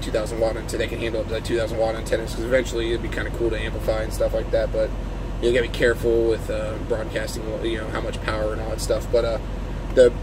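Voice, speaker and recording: A man who drives trucks talks in a casual, unscripted vlog, rambling informally as he explains things from his parked truck. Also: medium pitch. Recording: clear steady vehicle and engine-like noise.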